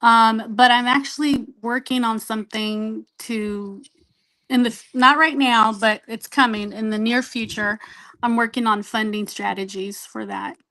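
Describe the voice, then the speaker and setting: Woman from the us, voice a bit high, answering questions casually as a guest. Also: fairly even intonation, a little slow, sharp tone, poor audio. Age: adult, doctoral student. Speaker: non-profit founder, show guest.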